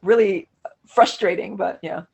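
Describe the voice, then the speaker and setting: A woman's voice: mid-range voice, fairly even intonation, measured pace, gravelly, a little mellow, husky. A middle-aged woman, a native Russian speaker, talking casually as a podcast guest, thoughtful and often restarting her sentences. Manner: thoughtful, self-correcting, frequent restarts and fillers. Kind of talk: casual conversational interview.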